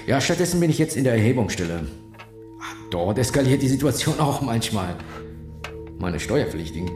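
A man playing a gruff ex-soldier tax official. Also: on the low side, reverberant, close to an everyday voice. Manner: curt, clipped. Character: gruff former soldier tax collector, middle-aged.

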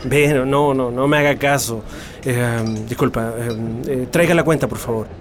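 An actor playing an uneasy middle-aged Chilean father back from exile in a radio drama, halting and wistful. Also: mid-range voice, crowd noise, natural voice. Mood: nervous, hesitant.

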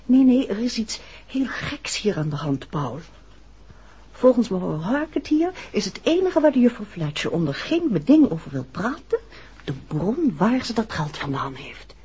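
A curious detective's wife in a vintage radio serial. Her voice is mellow and very husky, swinging freely as she confides and speculates. The old recording is muffled. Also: mid-range voice, very animated, normal speed. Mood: intrigued. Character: curious, sharp detective's wife sharing village gossip. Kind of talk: 1960s radio drama acting.

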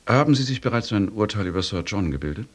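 Questioning officer, lowish pitch, husky, polite, formal, clipped, radio drama. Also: male voice, lively intonation, quick pace. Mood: probing, polite. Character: probing, polite young officer questioning his comrades. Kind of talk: German radio drama acting.

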